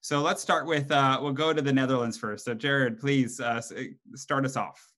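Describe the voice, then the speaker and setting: A man moderating an online panel discussion informally over a video call, with halting, hesitant phrasing. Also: mid-range voice, steady tone, fairly bright tone. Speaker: webinar moderator and host; college professor. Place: indoor room at home, video call. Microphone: computer microphone on a video call.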